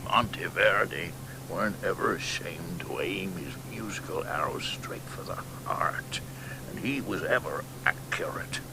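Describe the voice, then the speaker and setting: An actor playing a cantankerous, sardonic old exiled poet of eighty, who mutters in whispers and then bursts out loud, in a radio drama. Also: male voice, low voice, unhurried, gravelly. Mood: musing. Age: older. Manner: wry, grandiloquent, sardonic. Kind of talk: 1990s radio drama acting. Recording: noisy radio broadcast recording.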